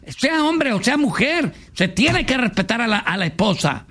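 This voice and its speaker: A Mexican man hosting a comedy radio show, joking and teasing in quick banter. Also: very high-pitched, very animated, fairly bright tone. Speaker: radio host.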